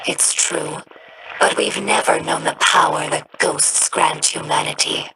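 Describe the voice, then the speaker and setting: An actress voicing a young female alien scholar in a video game, earnest and reflective, with a breathy rasp and a slow, solemn delivery. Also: lowish voice, background noise. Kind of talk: game character dialogue.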